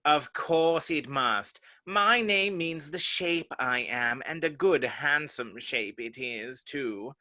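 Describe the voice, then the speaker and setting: A man's voice: fairly high pitch, takes it slowly, few pauses, sharp tone. A man playing a haughty, touchy, pedantic egg character in a dramatic reading of a children's classic. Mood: haughty, provoked.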